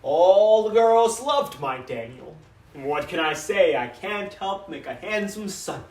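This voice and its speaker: A man performing a dramatic monologue as a proud, grieving middle-aged father, emotive and full of pauses. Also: high-pitched, expressive, a little mellow. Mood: fond, proud. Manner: theatrical, emotive, with dramatic pauses and repeated phrases. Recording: echoey room, distant microphone.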